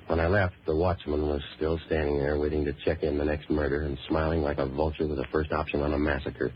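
A cynical American noir hero from a 1940s radio play talks fast in a deep voice. He tosses off deadpan, sardonic wisecracks through a muffled old recording with faint noise. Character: wisecracking, cynical waterfront boat-rental man, hardboiled noir hero. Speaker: lead actor and narrator.

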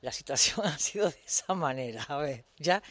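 A woman's voice: low voice, lively intonation, normal speed, dry recording. A woman hosting a casual radio chat, laughing often and chatting freely. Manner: giggly, chatty, keeps breaking into laughter. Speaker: radio host.

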